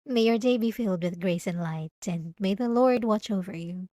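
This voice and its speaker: A woman's voice: medium pitch, normal speed, dark tone, dry recording, studio quality, natural voice. A woman acting a sweet, pious young church girl who is secretly obsessive, in an ASMR roleplay with a demure, hesitant, over-polite manner. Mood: gentle, gracious. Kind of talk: ASMR roleplay acting, addressing the listener directly.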